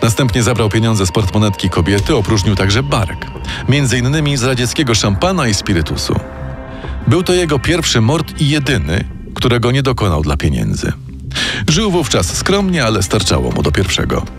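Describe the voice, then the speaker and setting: A man narrates a true-crime story from a script, measured and dramatic. His pitch moves freely in mid range as he tells it. A music bed plays steadily beneath his voice. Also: very animated. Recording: music playing underneath.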